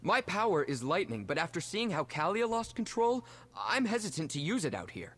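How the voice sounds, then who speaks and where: A man's voice: high voice, big pitch swings, moderate pace, a bit bright, full character voice. A man voicing a worried, hesitant young brother with magic powers in a video game. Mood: hesitant, resolute. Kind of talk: video game character dialogue.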